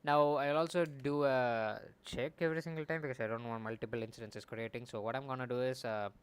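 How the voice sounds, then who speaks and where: A man's voice: mid-range voice, fairly even intonation, a little fast, smooth. A young man casually streaming as he builds a game, rambling and correcting himself as he thinks aloud at a desk mic. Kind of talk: casual live coding commentary.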